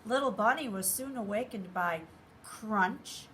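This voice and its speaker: A woman's voice: medium pitch, a little slow, fairly bright tone, a little hiss or hum. A woman reading a children's picture book aloud expressively, speaking directly to young listeners. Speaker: story reader.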